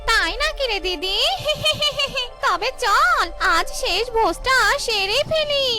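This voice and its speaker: A woman's voice: very high-pitched, animated, takes it slowly, exaggerated voice. A woman voicing a greedy younger female ghost sidekick in a cartoon, in a sing-song, exclaiming manner. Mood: gleeful, hungry. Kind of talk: exaggerated cartoon acting. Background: music.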